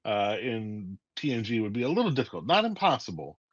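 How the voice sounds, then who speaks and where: A man, a writer interviewed as guest, telling stories in a lively way and acting out scenes. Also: on the low side, fairly quick, dry recording, lo-fi sound. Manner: lively, acts out scenes with voices. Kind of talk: animated storytelling in a casual interview.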